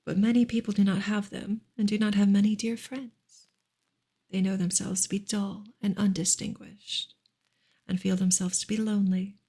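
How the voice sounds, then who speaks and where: A woman reads a novel aloud in a soft, hushed ASMR style, sometimes close to a whisper. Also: mid-range voice, flat delivery, moderate pace, slightly rough. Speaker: reader on an ASMR channel. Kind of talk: soft ASMR audiobook reading.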